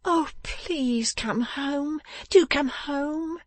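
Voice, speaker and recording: A man voicing a timid, tearful wife in a storybook, pleading in drawn-out, breathy sobs. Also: extremely high pitch, dry recording, pitched above the performer's own voice, heavily acted. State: sobbing.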